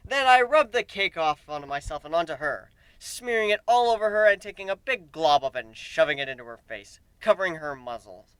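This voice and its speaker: A man giving an expressive, emphatic dramatic reading of a first-person story, as an amateur narrator. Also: a little high, brisk, hardly any pauses, smooth, no echo, low-quality recording.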